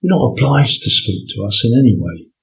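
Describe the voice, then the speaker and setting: Audio drama detective, male actor: fifties, smooth-mannered, soft and comforting, medium register, extremely mobile pitch. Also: huge pitch swings, brisk. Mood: gentle, reassuring. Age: 50s. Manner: soft-spoken, reassuring. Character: suave, gentle male police detective in his fifties.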